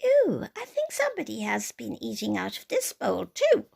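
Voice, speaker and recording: Woman as a motherly, concerned, high-voiced mummy bear. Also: huge pitch swings, normal speed, vocal fry, slightly rough, heavily acted. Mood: surprised. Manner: gently hushing, concerned.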